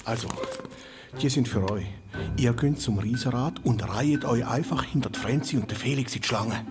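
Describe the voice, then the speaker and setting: A man's voice: mid-range voice, normal speed, close to an everyday voice. A man playing an earnest, conspiratorial older helper in a Swiss German children's radio play. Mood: earnest, secretive. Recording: music behind the voice.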